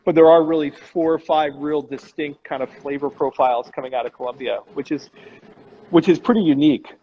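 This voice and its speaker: A man's voice: fairly high pitch, steady tone, a little fast, husky, muffled recording. A man, a chef hosting a casual online interview, speaking in a loose, conversational way with restarts. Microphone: phone on a live video chat.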